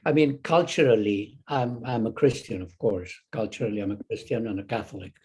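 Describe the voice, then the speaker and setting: An older man from Chile with a Chilean Spanish accent, a Zen teacher talking as an interview guest, halting with many restarts and fillers. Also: fairly low pitch, darkish tone, husky. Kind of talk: casual interview conversation.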